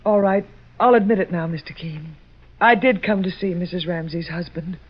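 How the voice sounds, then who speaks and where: A woman's voice: lowish voice, moderate pace, breathy edge. An actress playing an earnest, defensive young woman under suspicion, proper and pleading, in an old radio drama. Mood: confessing. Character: earnest, defensive young female murder suspect, lovelorn. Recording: dull vintage radio recording.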